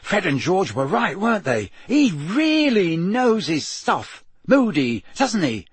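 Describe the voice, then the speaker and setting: A man voicing an excitable, chatty teenage schoolboy who blurts eagerly. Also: sits high, slow, slightly rough, no room sound, voice pushed up, full character voice. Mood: excited.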